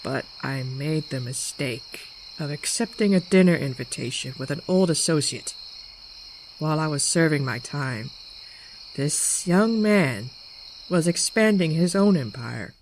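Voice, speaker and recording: A woman's voice: low voice, lively intonation, a little slow, a little hiss or hum, poor audio. A woman acting a tough, scornful woman in her seventies, a domineering crime matriarch with sardonic, theatrical delivery in a motion comic. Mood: grim recounting.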